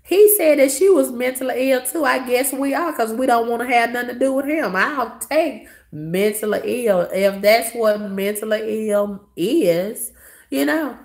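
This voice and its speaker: A hoarse, coughing woman talks straight to her viewers in a casual vlog, her voice sitting mid-range for a woman. She tells her story in a blunt, dismissive way, swearing freely as she goes. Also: medium pitch. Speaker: vlogger.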